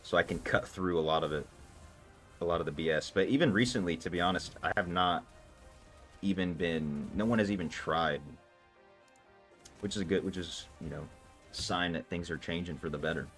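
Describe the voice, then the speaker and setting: A man's voice: low voice, moderate pace, pauses often, fairly bright tone. A man streaming live and casually chatting with his viewers, loose and talkative, with lots of filler words. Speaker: livestream gamer. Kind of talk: casual livestream chat answering viewers. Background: faint background music.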